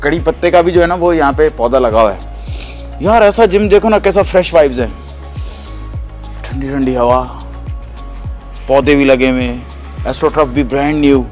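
A man's voice: mid-range voice, normal speed, long pauses, a little mellow, soft background music. A man, chatting enthusiastically while touring a gym at night and asking questions. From Pakistan. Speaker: vlogger reviewing a gym. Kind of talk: casual walking vlog tour. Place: outdoor and indoor gym at night. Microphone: handheld phone mic.

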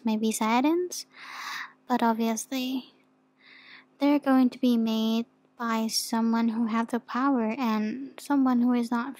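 A woman playing a shy, flustered young demon in an ASMR roleplay, with a soft, sometimes whispery voice and a hesitant, stammering manner. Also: fairly high pitch, no room sound.

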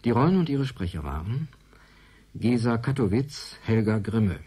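A man's voice: medium pitch, sing-song, normal speed, mellow, slightly rough. A man reading closing credits as a radio announcer, in a formal scripted broadcast style.

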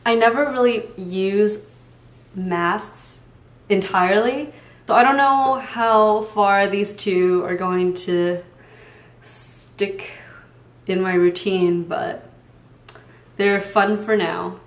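A woman's voice: medium pitch, takes it slowly, pauses often, reverberant. A young woman in her twenties, a beauty vlogger whose first language was Chinese, chatting in a rambling, casual way at a camera in a small room. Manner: chatty, rambling, trails off into mumbles. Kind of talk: casual chat.

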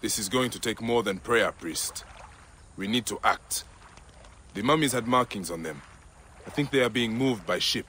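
A man's voice: fairly low pitch, normal speed, long pauses, bright, forceful tone, a little hiss or hum. An actor playing a grave, protective ancient Egyptian lawman in a video game cutscene. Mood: impatient, resolute. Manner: grave, resolute, plain-spoken. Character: stern, protective ancient Egyptian lawman warrior, grown man.

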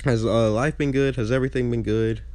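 A man's voice: medium pitch, steady tone, a little fast, a little mellow, no room sound. A young man hosting a gaming channel, chatting casually with a nasal, stuffed-up voice and often losing his train of thought. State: congested. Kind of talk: casual chat over gameplay.

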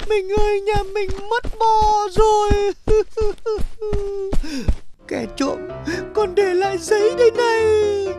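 Shrill, excitable village wife. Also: female voice, slow pace, loud music behind the voice. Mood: agitated. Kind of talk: comedy cartoon dub.

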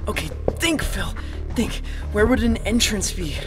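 An anxious young survivor in an apocalyptic audio drama. His voice sits a little high for a man, with clear music playing behind him. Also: expressive, a little slow. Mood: panicked, urgent. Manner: breathless muttering to himself, reflective when narrating. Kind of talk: audio drama acting, first-person narration.

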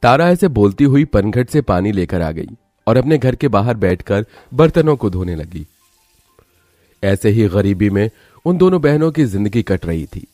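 A man's voice: fairly low pitch, very animated, moderate pace, warm tone, no echo, clean studio sound. A man narrating a scripted moral story as a storyteller.

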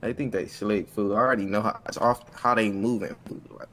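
A man's voice: lowish voice, steady tone, a little fast, a bit bright. A man roleplaying in an online crime game, arguing his points repeatedly in heavy street slang. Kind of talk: in-character street gang banter in online game chat.

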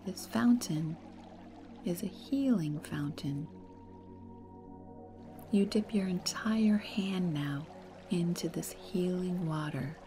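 Woman doing guided meditation, really slow soft breathy soothing voice, music playing behind her. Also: fairly low pitch.

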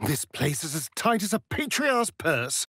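An actor playing a sardonic, flirtatious vampire elf rogue in a video game, with an arch, droll, theatrical delivery. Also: male voice, on the high side, sing-song, a little slow, fairly bright tone, slightly rough, no echo, clean studio sound, heavily acted. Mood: wry, musing. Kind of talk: video game character voice lines.

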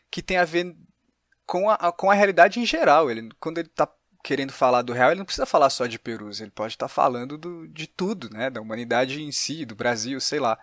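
A man's voice: fairly high pitch, a bit bright, no room sound. Dry-recorded Brazilian man interviewing. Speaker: podcast host and interviewer. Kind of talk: conversational interview.

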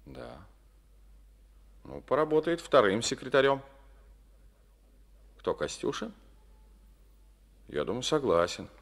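An actor playing a calm, reserved former colonel now a party secretary, speaking tersely in an old radio play. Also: male voice, medium pitch, slow, vocal fry, pauses often, exaggerated voice. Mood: businesslike. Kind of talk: Soviet radio play acting. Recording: old studio radio recording.

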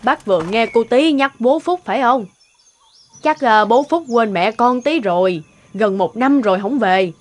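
Nosy village aunt, rather high. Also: female voice, expressive, unhurried. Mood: teasing gossip.

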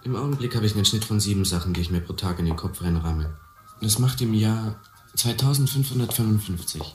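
A man's voice: lowish voice, brisk, natural voice. An actor playing a blunt, ambitious young man from the harbour in a radio play, talking colloquially and cockily. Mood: probing, blunt. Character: streetwise, hungry, ambitious harbour youth. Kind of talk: German radio play acting. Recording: roomy, music behind.

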